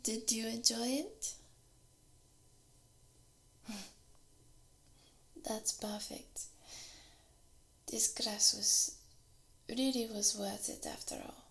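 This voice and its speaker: A woman with a Japanese accent playing a warm, gently teasing workshop teacher in an ASMR roleplay, soft-spoken and often slipping into breathy whispers, close to the microphone. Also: a little high, heavily acted. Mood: gentle, encouraging. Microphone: close binaural microphone.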